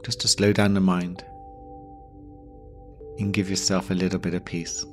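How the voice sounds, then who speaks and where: A man with a low voice leads a guided deep rest meditation. He speaks slowly and gently, leaving long pauses between short phrases. Meditation music with singing bowls plays behind him. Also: level tone. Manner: slow, pausing, gentle instruction. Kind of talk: guided yoga nidra meditation.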